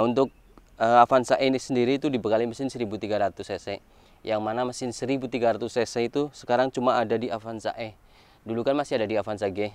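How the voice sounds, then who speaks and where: Man, smooth clear voice, informal car reviewer, point-by-point explanations. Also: lowish voice. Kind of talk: casual walkaround car review to camera.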